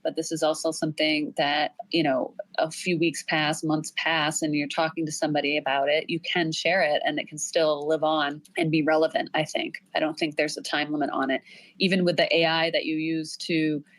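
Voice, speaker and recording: The low-voiced woman who runs an online creators' chat room, heard up close and dry. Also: level tone, normal speed, dry recording. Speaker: podcast host. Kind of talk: casual interview discussion.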